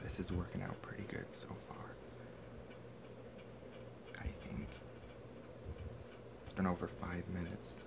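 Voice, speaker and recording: A young man talking casually and a bit haltingly on a homemade prank-call video shot indoors on a handheld phone. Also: deep voice, monotone, vocal fry, in a whisper. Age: young adult. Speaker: prank caller and video maker. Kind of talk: casual phone prank talk with muttered asides. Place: small bedroom, indoors. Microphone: phone at arm's length.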